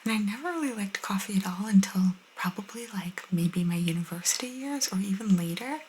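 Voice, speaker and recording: A young woman whispering softly and intimately as an ASMR host, reading and chatting casually close to the microphone. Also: medium pitch, lively intonation, brisk, a little hiss or hum, poor audio. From Italian cultural background. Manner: soft, intimate, chatty asides.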